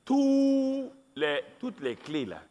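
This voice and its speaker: Emphatic preacher in a reverberant hall. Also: male voice, very high-pitched, huge pitch swings, warm tone. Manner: emphatic preaching, repeats key phrases slowly. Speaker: pastor. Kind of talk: sermon with live interpreter.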